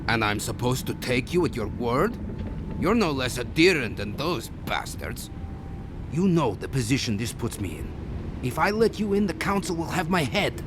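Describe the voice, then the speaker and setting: A gruff fantasy game gatekeeper, acted by a man, blunt and wary. His pitch swings widely as he grumbles, and clear outdoor noise sits behind him. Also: very animated, normal speed, natural voice. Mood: suspicious. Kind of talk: dramatic fantasy game acting.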